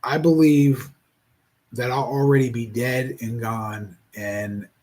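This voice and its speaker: A man in his forties speaking in a casual reflective monologue, rambling and halting with many restarts. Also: mid-range voice, steady tone, darkish tone. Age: 40s.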